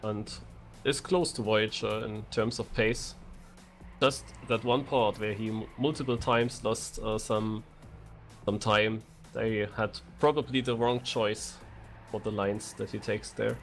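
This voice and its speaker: A man co-commentating a video game race live, in halting, hesitant phrases. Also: fairly low pitch, fairly even intonation, faint music behind. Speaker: esports co-commentator.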